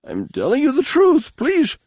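A shrill, terrified male captive. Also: huge pitch swings, measured pace, a little mellow, no room sound, clean studio sound, exaggerated voice. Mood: frantic pleading. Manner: high-pitched, frantic, trembling pleading. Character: terrified young male captive, pleading frantically.

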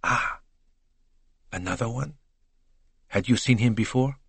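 A man doing a gently probing courteous detective. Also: medium pitch, slow, creaky, husky, dry recording, pitched above the performer's own voice, exaggerated voice. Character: calm, courteous middle-aged male detective.

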